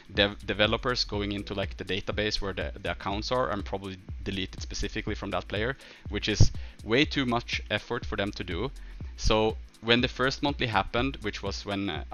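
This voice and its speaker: A man from northern Sweden with a Swedish accent, chatting casually as a game livestreamer and answering viewers in a halting way, thinking aloud. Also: on the low side, sharp tone.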